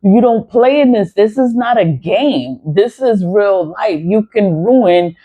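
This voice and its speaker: A woman's voice: medium pitch, animated, takes it slowly, dark tone. A woman in her forties ranting bluntly to her viewers, swearing often and hammering her points with repeated phrases. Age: 40s. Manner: repetitive, emphatic phrasing. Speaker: spiritual vlogger. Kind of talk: unscripted monologue to viewers. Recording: dull lo-fi recording.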